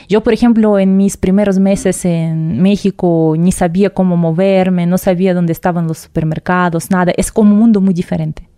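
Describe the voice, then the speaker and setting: A young woman explaining things in an unhurried way, her voice low for a woman and dark in tone. The sound is dry and close, with no room around it. She talks thoughtfully, restarting words now and then. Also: dry recording. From Russia. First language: Russian. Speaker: interview guest. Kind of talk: casual interview conversation.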